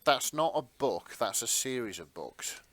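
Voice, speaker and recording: A man's voice: mid-range voice, animated, unhurried, a bit bright, no room sound. A man chatting casually as a podcast co-host in a rambling, self-deprecating way. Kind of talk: casual chat.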